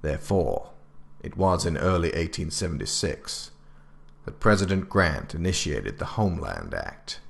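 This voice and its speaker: A man playing a grave, authoritative government director, reading a formal, measured handbook account in an audio drama. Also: very deep voice, vocal fry, fairly bright tone, exaggerated voice. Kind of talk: scripted dramatic narration.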